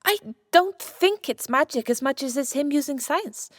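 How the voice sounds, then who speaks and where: Woman acting coolly determined scientist, higher-pitched. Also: animated, normal speed, dry recording, studio quality. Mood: clinical, analytical. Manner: matter-of-fact, explanatory, firm. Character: Santa's brisk, clinical scientist wife, immortal, coolly determined. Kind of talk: audiobook drama acting.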